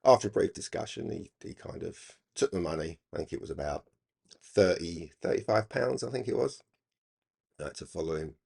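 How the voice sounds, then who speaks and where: An older man reminiscing casually in a halting, rambling, reflective way. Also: low voice, animated, creaky, a little mellow, husky. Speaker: hobby radio channel presenter.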